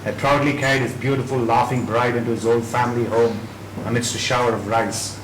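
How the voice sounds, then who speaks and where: A man reading literary fiction aloud in a dramatic narrating style, with measured phrasing. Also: medium pitch, fairly even intonation. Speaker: reader at a dramatised book reading. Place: indoor event room at a live reading. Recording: echoey room with clear background noise.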